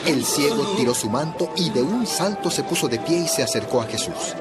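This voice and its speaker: A man narrating a dramatized audio Bible as a scripted, clearly phrased story. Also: on the high side, animated, fairly quick. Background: music.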